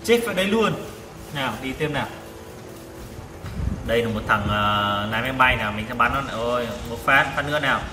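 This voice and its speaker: A man's voice: medium pitch, lively intonation, a little fast, pauses often, background noise. A man giving live gameplay commentary, exclaiming and repeating short phrases as he plays. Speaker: gaming vlogger playing a tank game. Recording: distant mic, echoey reverberant room. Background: loud game music.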